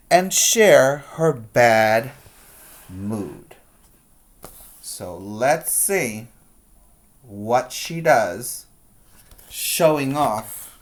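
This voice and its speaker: A teacher reads a children's story aloud at a slow, deliberate pace. The story words get strong emphasis, and simple explanatory asides are spoken to young listeners. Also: medium pitch.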